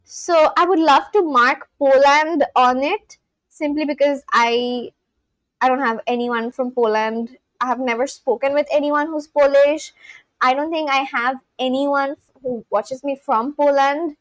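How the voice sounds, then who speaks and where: A woman with an Indian accent hosts a live stream and chats with her viewers in a loose, friendly way, laughing often between remarks. Her voice sits high and bright. The sound is dry and close, with no room ring. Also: measured pace, no room sound, rough recording. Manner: chatty, laughs often, talks straight to chat. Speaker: livestream host.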